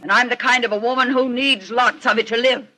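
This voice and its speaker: A haughty, greedy murderess from a vintage radio thriller. Her voice is bright and forceful, and she speaks in imperious, theatrical tones, like a lady used to money and ready to kill. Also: on the high side, fairly even intonation, brisk. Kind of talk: 1940s radio crime drama acting. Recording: old 1940s radio broadcast.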